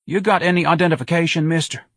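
Suspicious beat cop played by a male reader, curt and officious, higher husky voice, comic novel. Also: steady tone, fast, no room sound, studio quality. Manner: curt, questioning. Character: officious, suspicious street policeman.

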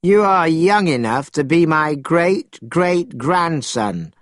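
A man voicing a very old, astonished village elder in a storybook, exclaiming in drawn-out words. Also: fairly high pitch, lively intonation, no room sound, clean studio sound, pitched above the performer's own voice. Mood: aged, emphatic. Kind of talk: storybook character voice.

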